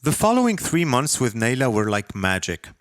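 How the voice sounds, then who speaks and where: A man's voice: mid-range voice, huge pitch swings, normal speed, no room sound. Man reading fiction, very dry sound. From Lebanon. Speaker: author reading own short story. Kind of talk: scripted first-person literary narration.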